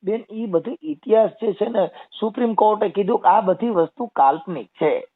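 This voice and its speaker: A man with a Kathiawadi Gujarati accent argues insistently and explains his points over a phone call. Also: moderate pace. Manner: insistent, explanatory, addresses listener as sister. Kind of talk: argumentative phone conversation. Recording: muffled low-fidelity phone call recording.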